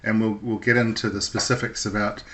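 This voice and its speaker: A man presenting an informal, unscripted how-to lesson in a measured, explanatory way with thoughtful, hesitant phrasing. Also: fairly low pitch, flat delivery, a bit bright. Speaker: instructor presenting a radio how-to video.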